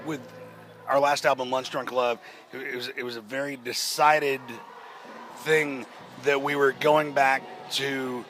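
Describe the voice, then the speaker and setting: A man in a band answering interview questions at a festival, his voice naturally hoarse, talking in a halting way with many restarts and fillers. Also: medium pitch, fairly bright tone, slight background noise. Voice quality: hoarse-sounding. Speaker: rock band member. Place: outdoors at a music festival.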